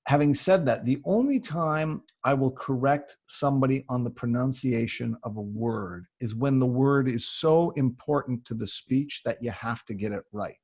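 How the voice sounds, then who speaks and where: A Canadian man coaching on public speaking, explaining things in an emphatic, conversational way with a slightly husky voice. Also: medium pitch, darkish tone. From Canada (Ontario). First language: English. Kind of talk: conversational advice answering audience questions.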